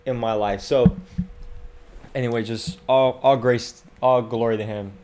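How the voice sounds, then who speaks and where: A man's voice: lowish voice, a little mellow. A young man hosting a podcast in casual conversation at home. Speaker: podcast host. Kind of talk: casual chat. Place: indoors, at a home.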